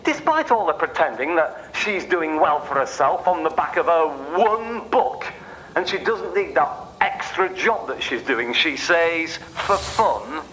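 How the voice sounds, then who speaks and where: An actor playing a sardonic train guard in a radio play, giving mock-official announcements laced with taunts. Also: male voice, on the high side, sing-song, unhurried, a bit bright, a little hiss or hum, low-quality recording. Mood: sneering. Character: sardonic male train guard, mockingly needling a passenger.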